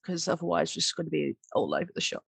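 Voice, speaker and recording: Breathless woman hosting, lively swooping pitch. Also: fast, creaky, husky, no room sound. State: short of breath. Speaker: podcast host and audio drama writer. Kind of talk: casual behind-the-scenes group chat.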